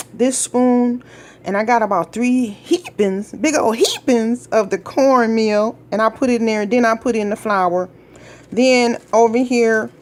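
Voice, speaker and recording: Middle-aged woman from Minnesota, folksy home-cook vlogger chatting to viewers in her kitchen, mid pitch. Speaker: cooking vlogger. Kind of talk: casual cooking demonstration.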